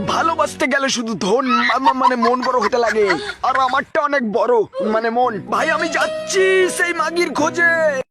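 A crude, clownish young cartoon guy voiced by a man speaks in an extremely high pitch. His delivery is slow and exaggerated for comedy, with loud music running behind it. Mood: lovestruck, crude. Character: crude, goofy young male cartoon characters. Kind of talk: exaggerated comic cartoon dubbing.

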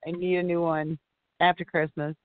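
A woman's voice: on the low side, little pitch movement, moderate pace, no room sound. A woman co-hosting a casual talk show, giggly and playful. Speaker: co-host. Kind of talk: casual chat. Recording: dry tinny phone-line audio.

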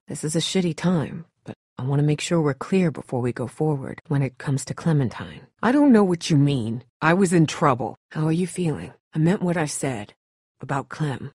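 An actress playing a tough, weary woman surviving an apocalypse in a video game, husky and blunt, speaking in a serious, terse way. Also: deep voice, animated, moderate pace, darkish tone, dry recording, clean studio sound. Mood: tense, guarded. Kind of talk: dramatic video game voice acting.

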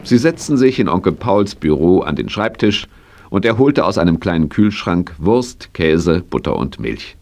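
A man's voice: low-pitched, very animated, normal speed, darkish tone. A man acting as the narrator of a children's radio play, telling the tale in scripted storytelling style. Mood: calm.

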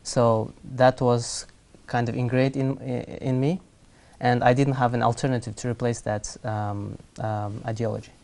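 Soft-spoken young man from Kazakhstan. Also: mid-range voice, flat delivery, gravelly. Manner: soft-spoken, measured, hesitant with fillers. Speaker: guest. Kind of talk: studio interview. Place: TV studio set.

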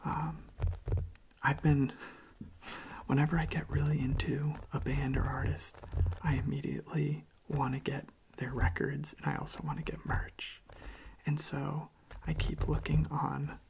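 A young man speaking softly in a gentle, unhurried, hesitant way as an ASMR host. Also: a little high, level tone, vocal fry, a little hiss or hum. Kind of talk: soft-spoken whispered ASMR.

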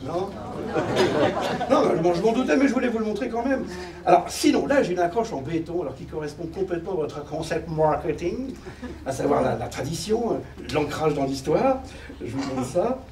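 A man's voice: high-pitched, lively intonation, normal speed, noisy background. A middle-aged man doing musical stand-up comedy on a small theater stage, chatty and self-mocking as he plays a keyboard. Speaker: comedian and pianist. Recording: echoey theater, distant.

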